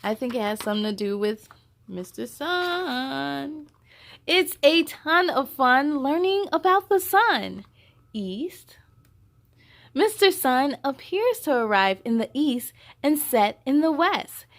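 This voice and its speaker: High-pitched woman reading aloud for children. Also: slow pace. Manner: sing-song, expressive, addresses young listeners directly. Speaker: host of a children's story time. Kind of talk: animated children's read-aloud with chatty asides.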